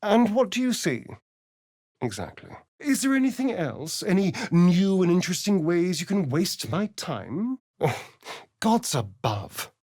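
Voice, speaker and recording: An actor playing a vain, sardonic vampire elf who is centuries old, speaking in game voice lines. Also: male voice, high-pitched, big pitch swings, unhurried, darkish tone, heavily acted. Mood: exasperated. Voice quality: arch, theatrical, sly and teasing.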